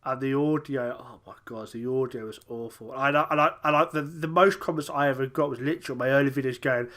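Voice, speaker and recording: A young man hosting a casual podcast interview, chatty and quick to laugh, asking eager questions. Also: medium pitch, fairly bright tone. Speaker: podcast host.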